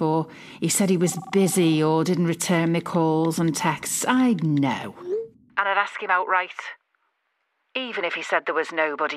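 A woman's voice: sits low, close to an everyday voice. A woman playing an obsessively doting, self-justifying middle-aged British mother in a confessional audio drama, speaking intimately and defensively. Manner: intimate, defensive, hesitant pauses. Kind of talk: dramatic monologue, confessional fiction podcast.

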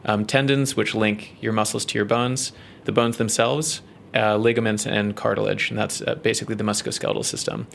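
A man with a New Zealand accent, a research scientist explaining his work in an interview, measured and full of ums and uhs. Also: lowish voice, flat delivery, vocal fry, a bit bright, slight background noise.

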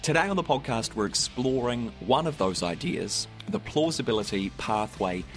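A male podcast host with a New Zealand accent and a slightly husky voice, presenting and interviewing. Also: mid-range voice, lively intonation, normal speed, faint music behind.

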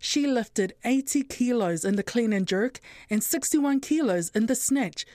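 A woman's voice: a little high, expressive, measured pace, a little mellow, no room sound, studio quality. A woman narrating a scripted radio news report.

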